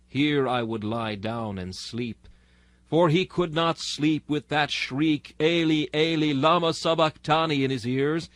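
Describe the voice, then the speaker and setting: A man delivers a dramatic sermon reading at a middling pitch. His manner is solemn and exhorting, with formal oratorical phrasing. The sound is dry and free of room echo. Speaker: narrator reading a historical sermon.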